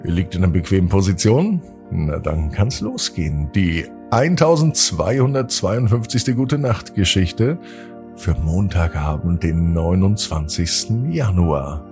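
A man telling a children's bedtime story as host, gently addressing his young listeners directly. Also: sits low.

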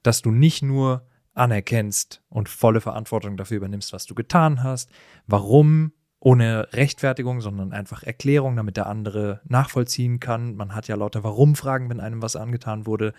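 A man's voice: fairly low pitch, normal speed, darkish tone, no room sound. Casual male podcast co-host, dry sound.

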